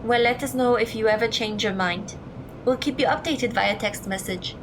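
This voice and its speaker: A woman playing a sensible teenage girl who takes charge of her friends in an audio drama, brisk and decisive. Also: fairly high pitch, background noise, natural voice.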